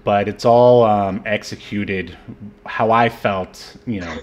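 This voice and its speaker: A man hosting a podcast, chatting casually and softly about a movie, often trailing off into fillers. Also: lowish voice, slow pace. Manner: soft-spoken, trails off into fillers. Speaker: podcast host. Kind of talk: casual chat reviewing a movie.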